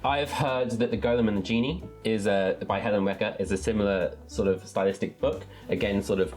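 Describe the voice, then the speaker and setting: Man, low voice, earnest book host, casual chat, enthusiastic, music faintly behind. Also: steady tone, fairly quick, a little mellow.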